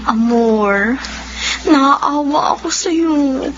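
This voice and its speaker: A woman's voice: sits high, drawn-out words, dull audio, over loud music. An actress playing a remorseful, frightened young woman in a radio horror drama, pleading melodramatically. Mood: sorrowful.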